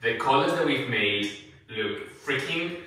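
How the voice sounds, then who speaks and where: A man in his thirties gushing excitedly and laughing while chatting about a renovated room. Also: mid-range voice, measured pace, far from the mic. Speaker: renovation vlog co-host. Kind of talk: casual chat. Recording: echoey room, off-mic, music behind.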